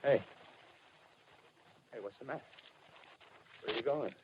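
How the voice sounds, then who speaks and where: An actor playing a deluded, power-hungry artilleryman survivor in a 1930s radio drama, excitable, rough and folksy. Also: medium pitch, unhurried, close to an everyday voice. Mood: puzzled, needy. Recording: noisy, muffled 1930s radio broadcast recording.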